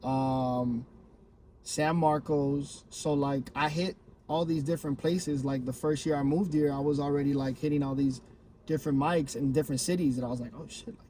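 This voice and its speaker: A young man from Miami, a comedian guest in casual podcast chat, telling stories in a lively, swearing way. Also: a little high, moderate pace, slight background noise. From Miami, Florida. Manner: animated, swearing storyteller.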